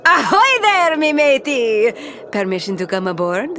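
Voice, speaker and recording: A woman's voice: on the high side, big pitch swings, a little slow, sharp tone, a little hiss or hum, exaggerated voice. An actress playing a bold, impatient female pirate captain in a cartoon, with a commanding, theatrical delivery and seafaring slang. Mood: swaggering.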